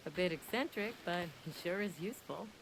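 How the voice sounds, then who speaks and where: A woman playing a steady, practical leader of a monster-hunting party in a video game, composed and dry. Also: on the low side, fairly quick, background noise, natural voice. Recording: loud game music behind. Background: loud music.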